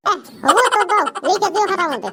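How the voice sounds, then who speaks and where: A performer voicing a cheeky, foul-mouthed cartoon schoolboy who scolds his friends in a squeaky, brash, slangy way. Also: lively intonation, quick pace, bright, forceful tone, a little hiss or hum, exaggerated voice. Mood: defiant. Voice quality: squeaky, artificially high. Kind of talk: comedy cartoon dialogue.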